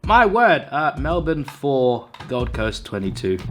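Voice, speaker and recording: A hoarse man who is under the weather, hosting a channel and tallying wrong viewer tips with mock exasperation. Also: medium pitch, sing-song, slow, a bit bright, a little hiss or hum. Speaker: channel host. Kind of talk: casual commentary reading out viewer tips.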